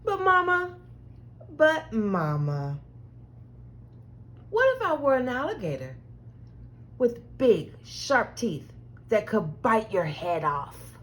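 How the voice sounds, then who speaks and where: A woman's voice: on the high side, sing-song, slow pace, long pauses. A woman voicing an anxious, imaginative small child in a picture book, pleading with Mama in a dramatic, wide-eyed way. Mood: dramatic worry.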